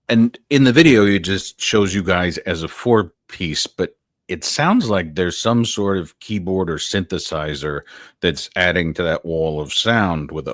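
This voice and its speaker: A man's voice: low voice, fairly bright tone, no room sound, studio quality. A male interviewer asking long, thoughtful questions in a casual, winding way.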